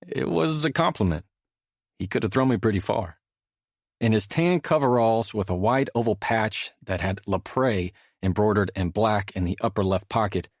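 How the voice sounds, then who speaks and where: Low-voiced man narrating an audiobook. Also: no echo, clean studio sound. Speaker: author narrating own audiobook. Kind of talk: scripted audiobook narration, storytelling.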